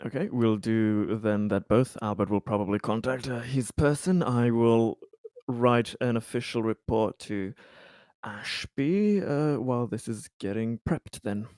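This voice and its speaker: A man's voice: mid-range voice, unhurried, darkish tone, dry recording, studio quality. A man playing a tabletop game, deliberating casually and hesitantly as he thinks aloud.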